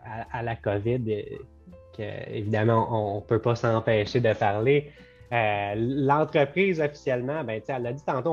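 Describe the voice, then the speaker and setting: Chatty young Quebec man, dull audio. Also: mid-range voice. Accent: Quebec French. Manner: talkative, loose, sprinkled with English words. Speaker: business co-owner and guest. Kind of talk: casual podcast conversation. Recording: slightly dull lo-fi sound.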